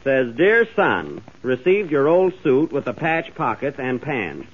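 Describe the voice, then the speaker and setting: A man hosting a 1930s radio comedy show, with exasperated, deadpan reactions. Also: medium pitch, a little slow, a little hiss or hum. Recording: dull 1930s radio broadcast with studio audience.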